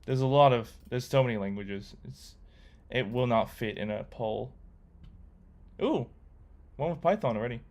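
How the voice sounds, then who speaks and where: A young man on a laid-back coding livestream, talking loosely to his chat with plenty of ums and restarts. His voice sits at an ordinary middle pitch, and keyboard typing is heard behind him. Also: moderate pace, long pauses. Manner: relaxed, rambling, reads chat aloud with many fillers. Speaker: livestreamer learning to code.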